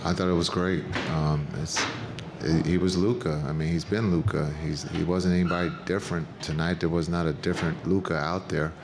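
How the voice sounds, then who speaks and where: Middle-aged man, basketball coach, low voice, monotone, measured and plain-spoken, table mic, noisy press room. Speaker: head coach. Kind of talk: postgame press conference answers. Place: press conference room with backdrop. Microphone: table microphone.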